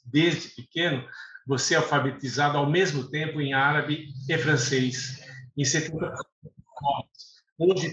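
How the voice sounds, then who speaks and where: A man's voice: mid-range voice, a bit bright. A man in his sixties, born in Lebanon with Arabic as his first language, talking unhurriedly as an interview guest, with fillers and restarts. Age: 60s. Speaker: guest, eye doctor. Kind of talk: conversational interview. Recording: echoey room, slightly dull sound, faint noise.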